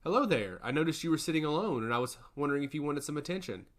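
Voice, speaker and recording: Man playing a flirtatious prince with lively pitch. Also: very fast.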